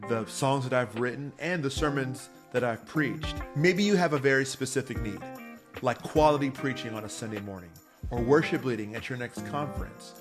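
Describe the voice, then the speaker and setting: A man hosting a casual songwriting tutorial, talking to viewers in a conversational, encouraging and explanatory way. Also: medium pitch. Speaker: host, worship songwriting teacher.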